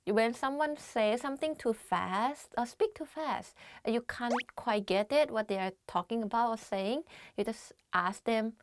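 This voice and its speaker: A woman's voice: a little high, unhurried, few pauses. A woman with a Thai accent, teaching beginner phrases in a chatty way, often saying "like" and laughing. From Thailand. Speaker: language teacher. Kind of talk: casual language lesson.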